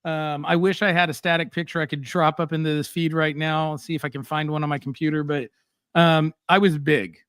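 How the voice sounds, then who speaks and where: Guy hosting a live stream, kinda high voice, blunt and animated, dry close sound. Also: fairly quick, smooth, no room sound. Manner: animated, blunt storytelling with frequent fillers. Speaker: host. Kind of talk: casual live-stream chat.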